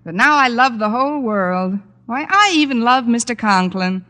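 An actress playing a wry, quick-witted female schoolteacher, arch and wisecracking in an old-time radio comedy. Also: fairly high pitch, expressive, natural voice. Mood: playful. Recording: noisy old radio recording, reverberant hall.

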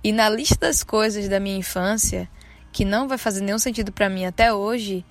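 A woman's voice: medium pitch, steady tone, fairly quick, dry recording. A woman in her twenties with a Bahian Brazilian accent, casually telling personal stories as a podcast host. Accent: Bahian Brazilian Portuguese. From Salvador, Bahia, Brazil. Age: 20s. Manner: casual, conversational, self-deprecating.

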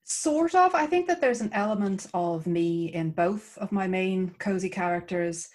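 A woman from Ireland with an Irish accent, an author chatting warmly about her writing as an interview guest. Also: mid-range voice, animated, normal speed. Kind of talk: casual interview chat.

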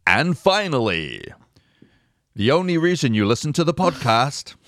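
A man's voice: mid-range voice, big pitch swings, unhurried, fairly bright tone, no room sound, studio quality. A man hosting a language-learning podcast, upbeat and chatty, laughing often. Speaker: podcast host. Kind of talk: casual podcast chat.

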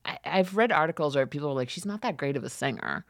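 Very low-voiced woman, casual chat. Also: animated, fast talker, dry recording, clean studio sound. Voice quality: deep voice. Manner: self-deprecating, trailing off with ums.

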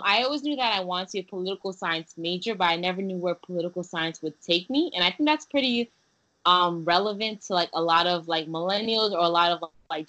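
A young woman from Haiti speaks earnestly as an interview guest, pausing on fillers and restarting her sentences. Her voice sits a little low and sounds bright and clear. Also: rough recording. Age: young adult. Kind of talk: casual interview.

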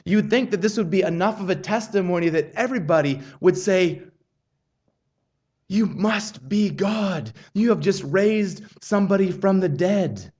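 Male pastor, high voice, earnest measured preaching, stumbles and restarts. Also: a little mellow, lo-fi sound. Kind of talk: sermon.

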